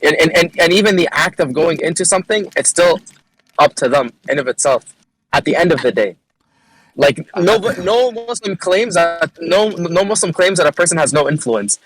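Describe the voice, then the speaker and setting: Fast-talking man in a voice-chat debate. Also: a little high, lively intonation, a little hiss or hum, poor audio. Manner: rapid, repeats words, asks if listeners follow. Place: voice chat call.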